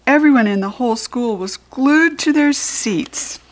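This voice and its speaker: An American woman reading a children's book aloud as a storyteller, expressive and with deliberate emphasis for young listeners. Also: sits high. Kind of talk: animated children's audiobook narration.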